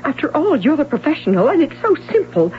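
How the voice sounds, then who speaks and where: A woman's voice: high-pitched, sing-song, quick pace. An actress playing an anxious, insistent mother with an emphatic, imperious manner in a 1970s radio drama. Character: anxious, insistent suburban mother with wild theories. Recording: muffled old radio recording with hum.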